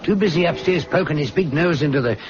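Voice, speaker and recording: Man playing a high-voiced, gossipy pub regular. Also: fast talker, lightly acted. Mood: mischievous gossip. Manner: chatty, folksy, theatrical. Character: gossipy, chummy English village pub regular. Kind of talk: radio drama acting, colloquial. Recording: dull radio recording with crowd behind.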